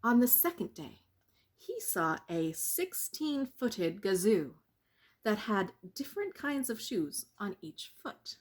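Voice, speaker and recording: A woman with a husky voice reads a picture-book story for children. Her pitch moves up and down in a lively way, and she takes her time, pausing for drama before key phrases. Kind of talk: expressive bedtime story reading for children.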